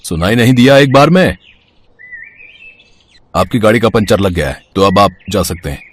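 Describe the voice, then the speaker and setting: A man's voice: fairly low pitch, expressive, moderate pace. A man playing a protective, commanding mechanic father who reproaches his child in a story cartoon. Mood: stern, angry. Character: stern, protective working-class father, car mechanic. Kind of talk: Hindi moral story cartoon acting.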